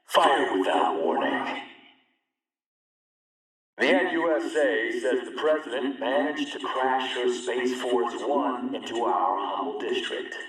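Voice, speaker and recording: Echoing, slow-talking military warlord. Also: male voice, medium pitch, fairly bright tone. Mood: stern, commanding. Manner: measured, commanding, folksy swagger with dry menace. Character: hardened male military warlord ruling a lawless district. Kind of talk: dramatic radio-broadcast address in a video game. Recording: distant, heavily echoing public broadcast.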